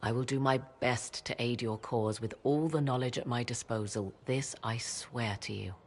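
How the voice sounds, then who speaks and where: An actress voicing a mysterious, secretive mage mother in a video game, speaking in a measured, formal, archaic way. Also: a bass voice. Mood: grave. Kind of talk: video game cutscene dialogue.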